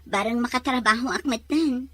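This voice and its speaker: A woman playing an eager young job seeker in a drama, with a husky voice. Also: on the high side, normal speed, no echo, lightly acted. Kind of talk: Ilocano drama acting.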